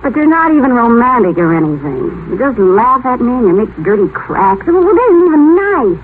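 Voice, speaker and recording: Petulant young mistress, slangy, indignant, swooping pitch, noisy vintage radio broadcast. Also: female voice, big pitch swings, normal speed, narrow, tinny audio. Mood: pouty indignation. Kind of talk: 1950s radio play acting.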